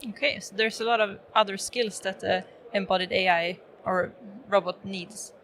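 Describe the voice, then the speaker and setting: A woman hosting a podcast interview, asking brief, measured questions. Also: medium pitch, steady tone, a bit bright, a little hiss or hum. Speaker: podcast host, consultant. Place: live event podcast booth.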